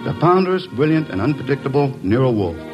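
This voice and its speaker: A man's voice: mid-range voice, very animated, fast talker, lo-fi sound, over music. A male announcer introducing a 1940s radio drama in a grand, declamatory style.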